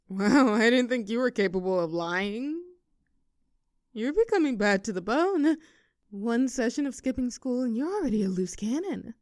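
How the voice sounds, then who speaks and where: A woman playing a popular high school cheerleader bully with a hidden soft side in an audio roleplay, teasing and sarcastic. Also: no echo, studio quality. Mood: mocking, bored. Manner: teasing, sarcastic, with flustered stammers when cornered. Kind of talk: audio roleplay acting, one side of a dialogue.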